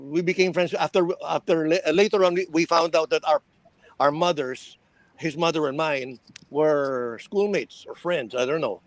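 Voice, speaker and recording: An older Filipino man with a high-pitched voice tells stories casually. He hesitates and circles back over his phrases, mixing English and Tagalog as he goes. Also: no room sound. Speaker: veteran radio personality and guest. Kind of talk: casual reminiscing, storytelling.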